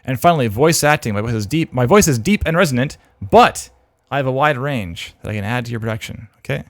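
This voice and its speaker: A man's voice: medium pitch, animated, fast, no echo. A man hosting a livestream, casually thinking aloud as he gives frank critique of demo reels on a close mic. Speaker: host reviewing demo reels.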